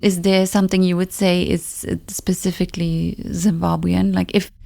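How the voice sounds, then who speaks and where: A woman's voice: lowish voice, little pitch movement, moderate pace, vocal fry, mellow, faint music behind. A woman hosting a podcast interview, asking chatty, inquisitive questions. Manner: inquisitive, chatty, with many "like" fillers. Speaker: podcast host. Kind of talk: conversational interview.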